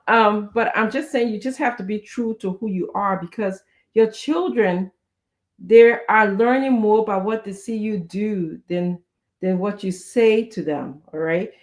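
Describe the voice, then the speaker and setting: A woman's voice: medium pitch, a little mellow. A middle-aged woman with a Liberian accent, giving earnest, conversational advice in an emphatic way with short, repeated exhortations. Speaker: guest speaker giving parenting advice.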